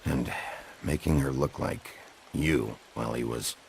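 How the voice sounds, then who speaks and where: A deep, gravelly sheriff, speaking low and tersely in a game scene. His voice sits far down in pitch with a rough fry, over faint background hiss. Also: a little slow, a little mellow, full character voice. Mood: blunt. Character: gruff male sheriff, a werewolf in human form.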